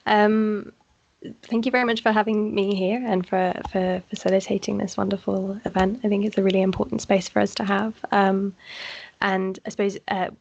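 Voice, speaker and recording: A woman speaking as a webinar panelist in a gracious, measured way. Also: mid-range voice, little pitch movement, gravelly, darkish tone, lo-fi sound. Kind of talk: personal talk in a webinar. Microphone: video call.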